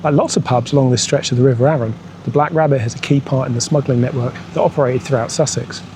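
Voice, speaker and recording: Guy hosting a motorbike travel vlog, mellow voice, telling old local legends, bit of background noise. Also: mid-range voice, steady tone, fast. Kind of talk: storytelling narration of local history and legends.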